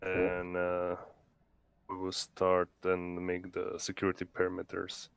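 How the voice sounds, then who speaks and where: A man's voice: deep voice, monotone, unhurried, vocal fry, clear, resonant tone. A man talking casually as a player in a tabletop game.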